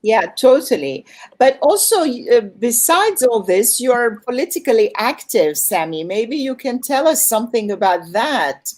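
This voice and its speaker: A woman's voice: on the high side, very animated, a little slow, darkish tone. A woman speaking conversationally as she hosts and moderates a festival question-and-answer session. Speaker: festival host moderating an online Q&A. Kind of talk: conversational event hosting.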